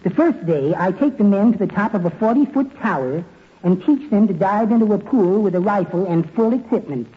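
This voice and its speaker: An actor playing a timid, henpecked little man in 1940s radio comedy, speaking in a prim, mousy, deadpan way. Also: sits high, a little fast, husky. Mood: meek, deadpan. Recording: tinny, hissy old radio recording.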